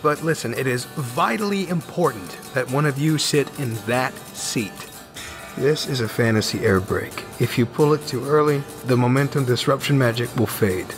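A man's voice: mid-range voice, normal speed, over music, close to an everyday voice. A man voicing an easygoing launch technician in a comic dub, casual and a little hesitant. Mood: cautioning. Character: easygoing male launch technician explaining safety.

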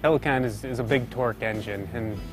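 A man's voice: mid-range voice, a little fast. A man giving an informal technical explanation as an engineer presenting product features. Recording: music playing behind the voice. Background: background music.

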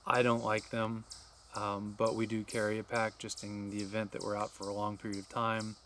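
A man in his forties with a fairly low voice walks through his gear list in a plain, practical way. He sits outdoors beside a camper at a wooded campsite, and a faint outdoor hush lies under his words. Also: normal speed, a little hiss or hum. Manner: steady, practical explainer, listing tips. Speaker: RV travel vlogger. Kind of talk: instructional vlog to camera.

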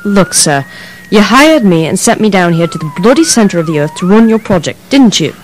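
A woman's voice: medium pitch, sing-song, a little fast, slight background noise, natural voice, poor audio. Woman acting defiant, blunt young professor. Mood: exasperated. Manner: blunt, exasperated. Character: defiant British woman professor in her early twenties. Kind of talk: audio drama acting.

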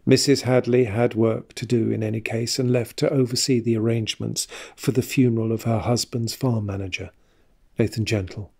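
Audio drama narrator, male, slightly husky, measured and serious, scripted literary storytelling. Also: mid-range voice, fairly even intonation, darkish tone.

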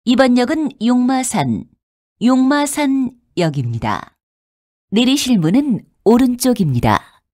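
Female transit announcer, fairly high and very lilting, formal and polite, recorded dry. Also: big pitch swings, measured pace, a little mellow, dry recording. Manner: formal, polite, clearly enunciated. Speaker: subway announcer. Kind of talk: scripted public transit announcement.

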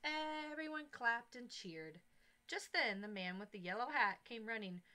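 A woman in her thirties reads a picture book aloud to young children, with expressive, deliberate phrasing, on a headset mic. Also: on the high side. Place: small indoor classroom-style corner. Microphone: headset boom mic.

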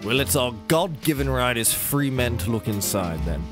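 An actor playing a jolly, old-fashioned gentleman sidekick with a pompous, exclamatory manner in a comedy audio drama. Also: mid-range voice, big pitch swings, normal speed, music in the background, close to an everyday voice. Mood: jovial, pompous.

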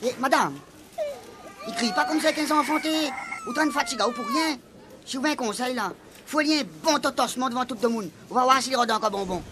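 A woman playing a bossy, quick-tempered housemate in a comic radio serial, scolding bluntly in a Réunionese Creole accent. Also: high voice, background noise, close to an everyday voice. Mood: indignant.

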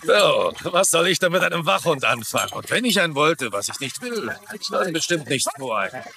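An actor playing a dismissive, dryly sarcastic barkeeper in a dubbed crime drama. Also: male voice, a little high, big pitch swings, moderate pace, pushed and bright, a little hiss or hum, full character voice. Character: dismissive, dry-humored barkeeper.

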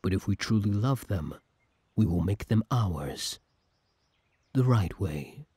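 A man acts out a possessive villain from the future in an intimate audio roleplay. He speaks slowly and deliberately in a lowish, husky voice, commanding yet lovesick, recorded close and dry. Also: animated, dark tone, dry recording, exaggerated voice. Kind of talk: scripted ASMR roleplay acting.

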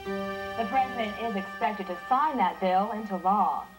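An actress playing a composed television news anchor, reading scripted news in a serious manner. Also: female voice, mid-range voice, unhurried, music in the background, lightly acted, low-quality recording.

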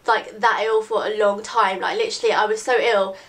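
A woman's voice: mid-range voice, fairly even intonation, a little fast. A young woman, chatting casually to camera in her bedroom while a bit bunged up from a cold, rambling with lots of "like". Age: late teens. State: congested from a cold. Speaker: beauty vlogger. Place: bedroom, indoors. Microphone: camera in front at desk distance.

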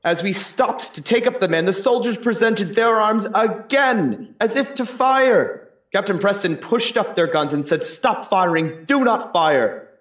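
A man's voice: sits high, lively intonation, measured pace, a bit bright. A man playing a colonial Boston eyewitness giving plain testimony in a historical courtroom reenactment. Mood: grave, deliberate. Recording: roomy echo, distant microphone.

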